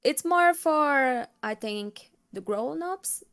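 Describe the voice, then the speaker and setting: A woman's voice: high-pitched, expressive, takes it slowly, a bit bright. A young woman from Brazil with a Brazilian Portuguese accent, a Portuguese native speaker chatting as an interview guest, often restarting and searching for words. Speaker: guest, K-pop cover singer. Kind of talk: casual interview chat.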